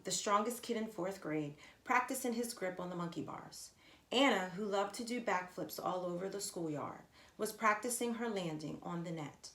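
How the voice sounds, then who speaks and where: A woman reading a children's story aloud expressively, with deliberate emphasis and asides to her listeners. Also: medium pitch, fairly bright tone. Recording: echoey, roomy sound.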